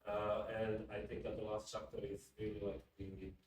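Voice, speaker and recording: A man's voice: lowish voice, completely flat delivery, normal speed. A man in a book club discussion, hesitantly searching for his words. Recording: echoey room from far off, noisy.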